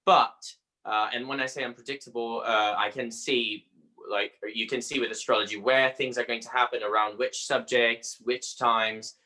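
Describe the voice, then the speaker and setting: Bright-voiced Brazilian man, halting. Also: mid-range voice, fairly even intonation, low-quality recording. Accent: Brazilian Portuguese. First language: Portuguese. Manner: halting, searching for words with frequent pauses. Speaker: astrologer guest. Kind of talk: conversational interview.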